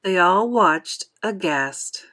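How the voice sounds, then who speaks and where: Female children's storyteller: unhurried, animated intonation, classroom read-aloud delivery. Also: lowish voice. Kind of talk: expressive children's audiobook narration.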